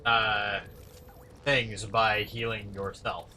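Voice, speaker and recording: A man casually commenting while playing a game with a friend, with a calm, lecture-like voice and offhand swearing. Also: lowish voice, extremely slow, a little hiss or hum. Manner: laid-back, musing, swears casually. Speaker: gaming channel host.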